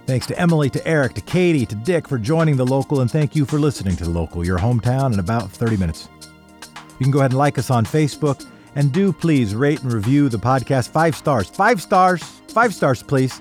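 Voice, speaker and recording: A man closes out a local news podcast in a playful, mock-insistent tone. His voice sits in a middle pitch, and faint music plays underneath. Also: expressive, a little fast, darkish tone. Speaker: podcast host. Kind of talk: show outro.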